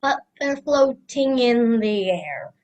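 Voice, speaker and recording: A woman's voice: a little high, lively intonation, slow pace, a little mellow. A young female student playing a snarky, easily grossed-out older sister in a children's radio play. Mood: puzzled.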